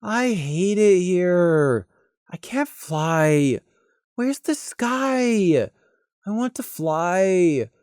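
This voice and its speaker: A woman voicing a petulant pegasus in an audio story, whining in drawn-out complaints. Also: on the low side, lively intonation, darkish tone, no room sound, studio quality, pitched above the performer's own voice. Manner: whiny, drawn-out. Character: Alto Heights, petulant whining flight-obsessed pegasus.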